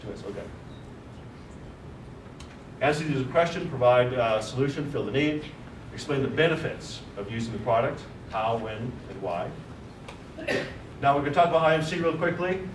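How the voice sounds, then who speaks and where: Man, panel speaker, brisk explanatory delivery, distant mic, roomy echo, background noise. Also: medium pitch, expressive. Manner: brisk, explanatory, lists points. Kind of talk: conference presentation. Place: large office meeting room. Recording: echoey room, distant mic, noisy.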